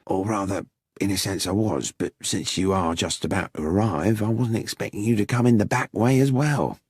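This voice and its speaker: Guy doing a sneaky victorian cop for an audiobook, breathy near-whisper, bossy and wry, dry sound. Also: lowish voice, moderate pace, no room sound. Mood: furtive, wry. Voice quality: hushed, often whispering. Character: brisk, furtive, wry policeman of Victorian London. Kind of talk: audiobook character acting.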